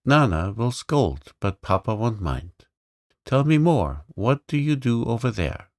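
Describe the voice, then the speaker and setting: Storybook girl, imperious and eager, put on by a male narrator: higher, measured, frank. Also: big pitch swings, no echo, clean studio sound. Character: bossy, impetuous little rich girl.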